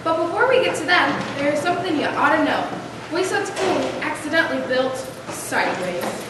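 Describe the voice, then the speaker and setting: A woman's voice: sits high, expressive, brisk. A young adolescent girl delivering scripted narration in a school readers theater performance. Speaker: student narrator in a school play. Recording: reverberant hall from far off, noisy.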